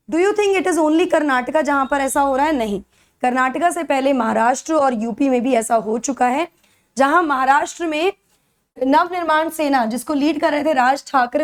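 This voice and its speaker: High-pitched Indian woman lecturing. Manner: instructional, checks understanding with short tag questions. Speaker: educator for civil service exam courses. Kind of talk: explanatory lecture mixing Hindi and English.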